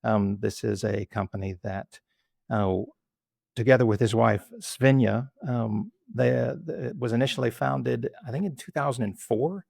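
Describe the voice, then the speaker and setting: A male podcast host with a gravelly, creaky voice at an ordinary pitch. He speaks haltingly and often restarts his sentences while introducing and questioning his guest. The sound is dry and close, with no room echo. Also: a little mellow. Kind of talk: interview hosting.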